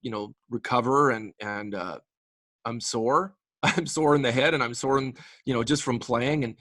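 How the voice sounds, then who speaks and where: A man's voice: medium pitch, normal speed, fairly bright tone, dry recording. A man in his late forties from the Canadian prairies, chatting as a guest musician in a casual interview, enthusiastic and rambling with frequent restarts. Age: late 40s.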